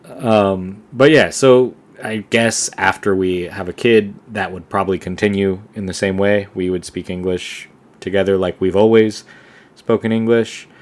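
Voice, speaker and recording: A young man with a fairly low voice chats easily as a podcast co-host. He rambles, circles back and fills pauses with "um" and "like" in a loose, relaxed way. The delivery is plain and unhurried. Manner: relaxed, rambling with many fillers and restarts. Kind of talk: casual conversation.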